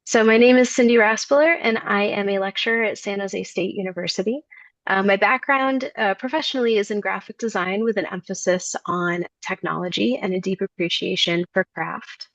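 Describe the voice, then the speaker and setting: A woman's voice: medium pitch, fairly quick, dry recording. A woman in her thirties who grew up in Maine, presenting a design workshop over a video call with frequent ums and uhs. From Maine, United States. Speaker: design lecturer and workshop facilitator. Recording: dull, dry video call audio.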